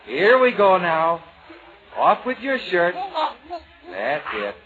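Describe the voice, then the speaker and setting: Lightish, lively, friendly bachelor. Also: male voice, sits high, slow, crowd noise, full character voice. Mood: playful, tender. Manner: easygoing, boyishly cheerful. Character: friendly, earnest bachelor man fond of babies. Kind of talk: 1940s radio comedy acting. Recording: dull, noisy, crackly old radio recording.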